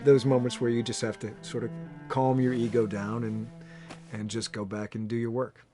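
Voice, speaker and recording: Male documentary interviewee, average pitch, candid and reflective, guitar bed behind him. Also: flat delivery, normal speed.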